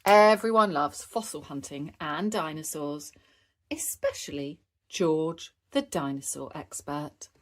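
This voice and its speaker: Animated woman reading children's stories. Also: low voice, takes it slowly. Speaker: storybook reader. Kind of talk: expressive children's story narration.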